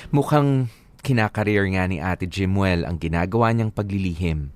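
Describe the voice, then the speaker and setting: A man's voice: deep voice, normal speed, faint music behind. A man with a Filipino accent narrating a personal letter in first person for a radio drama.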